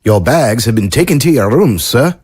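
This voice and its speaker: A man playing a courteous official escort attending to guests in an audio drama, with a husky voice. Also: mid-range voice, expressive, normal speed, a little mellow. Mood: deferential.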